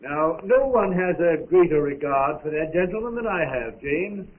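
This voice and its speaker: An actor playing a kindly, proper older family friend, formal and gently hesitant, in 1930s radio style. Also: male voice, on the high side, animated, normal speed, lightly acted. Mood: gentle concern. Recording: thin, phone-like, hissy 1930s radio recording.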